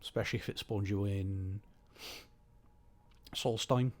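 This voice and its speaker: A slightly hoarse man giving rambling, wry, opinionated commentary while playing a game. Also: on the low side, fairly even intonation, unhurried. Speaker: gaming host. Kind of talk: casual gameplay commentary.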